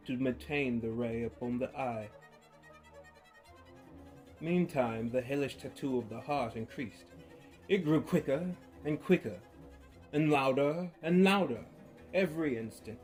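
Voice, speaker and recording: An unhinged, gloating killer tells his tale, as a man reads him out in a slow, drawn-out delivery that sinks to near-whispers and swells into loud outbursts, broken by low chuckles. His pitch sits in the middle. Faint music plays behind him. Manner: theatrical, swinging from hushed murmurs to loud outbursts, with low chuckles. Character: unhinged male murderer narrator, feverish and gloating. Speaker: narrator reading a horror tale. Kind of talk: dramatic storytelling reading.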